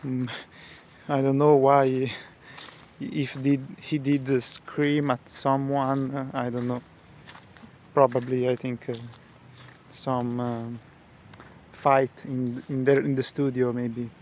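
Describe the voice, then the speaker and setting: A man whose first language is Italian, commenting casually on a stream for a reaction video, halting and searching for his words. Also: medium pitch, flat delivery, a little mellow, lo-fi sound, a little hiss or hum.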